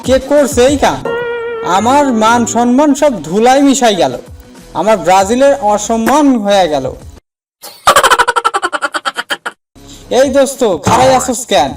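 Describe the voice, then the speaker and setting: An indignant young cartoon man, pitched extremely high. He blusters and dramatizes like a fanatical football fan. The sound is distant, with faint music behind. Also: normal speed. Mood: wailing outrage. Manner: blustering, melodramatic. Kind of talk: comic cartoon dub.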